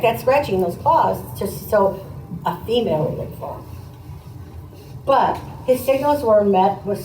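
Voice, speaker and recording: A woman's voice: mid-range voice, warm tone. Female teacher doing a conversational read-aloud, explanatory and questioning, recorded at a distance with some background noise. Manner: explanatory, prompting listeners with questions. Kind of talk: reading aloud to children with conversational asides. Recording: roomy, distant mic, noisy room.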